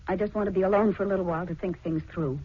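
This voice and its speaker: A woman playing a resolute, wounded young wife with measured delivery in a 1940s radio melodrama, on a muffled recording. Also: medium pitch, steady tone, husky, natural voice. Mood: sad, resolute.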